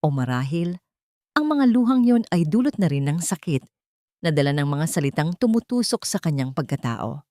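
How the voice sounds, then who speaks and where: A woman's voice: deep voice, very animated, normal speed, mellow, no room sound, studio quality. A woman reading scripted, dramatic narration of a love story as a storyteller.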